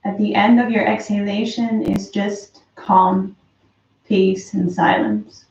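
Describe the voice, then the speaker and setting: A woman slowly and softly guiding a breathing meditation, calm with long pauses. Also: mid-range voice. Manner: slow, soft, calm guidance with long pauses. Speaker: meditation teacher. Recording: roomy, distant, dull lo-fi sound.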